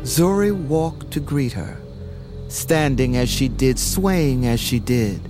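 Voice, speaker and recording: A man narrating an audio drama legend in a solemn, measured, reverent way. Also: mid-range voice, expressive. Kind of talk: slow scripted storytelling narration for an audio drama. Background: music.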